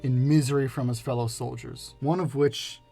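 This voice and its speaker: A man's voice: mid-range voice, steady tone, normal speed, creaky, mellow, breathy edge. Guy narrating a film essay, scripted read, music playing underneath.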